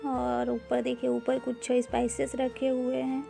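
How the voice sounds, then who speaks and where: A woman giving an unhurried, casual walkthrough of a grocery store, filming on a handheld phone and mixing English words into her talk with frequent "uh" fillers. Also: high-pitched, completely flat delivery, vocal fry, warm tone, soft background music. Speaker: vlogger touring a grocery store.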